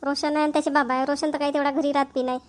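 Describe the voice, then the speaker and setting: A woman's voice: high-pitched, little pitch movement, racing, lightly acted. A woman voicing a chatty, practical village woman in a cartoon, in homely Vidarbha Marathi. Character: chatty, practical village women neighbours planning an engagement. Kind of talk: amateur cartoon dialogue.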